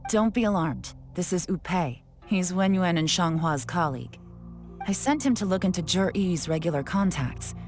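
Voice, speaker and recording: Woman playing a cool, low-voiced investigator. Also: lively intonation, a little mellow, close to an everyday voice. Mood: calm explaining. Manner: composed, deliberate, sly. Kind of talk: anime video game voice acting. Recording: background music behind the voice.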